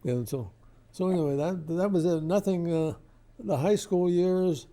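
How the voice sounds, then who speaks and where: Very mellow older man. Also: sits high. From Lebanon, Ohio, United States. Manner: halting, modest, rambling. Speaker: interview guest. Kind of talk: casual reminiscing.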